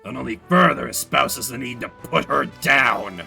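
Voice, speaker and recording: A man voicing a cold, stern nobleman prosecutor in a video game, speaking in a measured, pompous way. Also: mid-range voice, expressive, gravelly, pushed and bright, faint music behind, full character voice. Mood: stern condemning.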